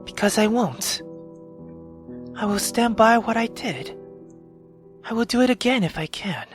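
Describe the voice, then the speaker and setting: A man's voice: high voice, animated, a little slow, soft background music. A man voicing a sorrowful, defiant female servant in a visual novel, earnest and insistent. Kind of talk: dramatic visual novel voice acting.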